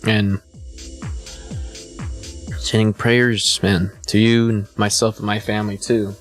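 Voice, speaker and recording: Laid-back young man streamer, low voice. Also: flat delivery, takes it slowly, faint music behind. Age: 20s. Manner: rambling, laid-back, repeats "man" and "you know". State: a little hungover. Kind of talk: casual chat to a live stream.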